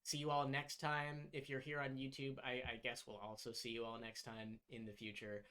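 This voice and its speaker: A man's voice: medium pitch, completely flat delivery, moderate pace, bright, forceful tone. A man who is the dungeon master, thanking viewers and plugging his work in a casual, upbeat outro after a tabletop game session. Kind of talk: casual post-game chat and stream outro.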